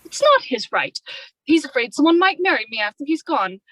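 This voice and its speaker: An actress playing a strong-willed, politely persuasive young wife in an old-time radio drama, with a confiding, insistent manner. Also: female voice, sits high, big pitch swings, a little fast, bright, forceful tone, exaggerated voice, poor audio. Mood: indignant.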